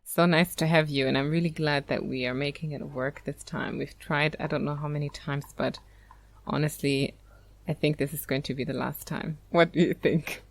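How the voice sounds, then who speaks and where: A woman's voice: deep voice, steady tone, moderate pace, creaky, a little hiss or hum. A woman hosting a podcast, introducing the episode and chatting with a guest in an upbeat, enthusiastic way. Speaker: podcast host. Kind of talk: casual interview chat.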